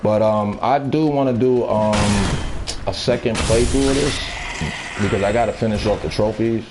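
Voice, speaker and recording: A man in his thirties chatting casually with viewers while gaming, laid-back, talkative and quick to laugh. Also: fairly low pitch, background noise. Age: 30s. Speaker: gaming streamer. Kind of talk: casual live-stream chat while gaming.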